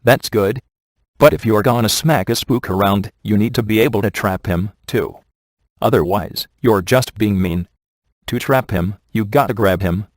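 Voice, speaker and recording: A male actor plays a sarcastic, laid-back ghost hunter from a video game. His voice sits low and is recorded close and dry. He talks in glib wisecracks with a sardonic edge. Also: moderate pace, no echo, close to an everyday voice. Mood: casual, cocky. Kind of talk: scripted video game character dialogue.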